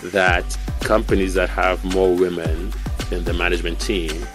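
A man in his forties from Cameroon, a business executive giving a relaxed studio interview, restarting phrases as he goes. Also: low-pitched, over music. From born in Cameroon, raised in Canada. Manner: talks in quick bursts, repeats and restarts phrases. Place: radio studio.

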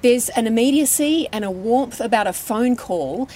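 A woman's voice: fairly high pitch, expressive, moderate pace, mellow. A woman speaking earnestly and emphatically as a panellist in a discussion. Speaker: radio panellist.

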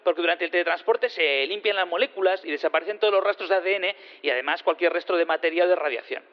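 An actor in an audio drama playing an eager space physicist on the witness stand, rambling, hesitant and repeating himself. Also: male voice, sits high, fast, natural voice. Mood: explanatory, flustered. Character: rambling, eager male space physicist who teleports things. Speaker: witness in a courtroom. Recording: dull lo-fi sound in long reverb.

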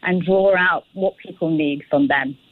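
A woman's voice: sits low, a little slow, no room sound, narrow, tinny audio. A woman from the UK, a guest designer on a radio interview, speaking frankly and articulately with a self-deprecating touch. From United Kingdom.

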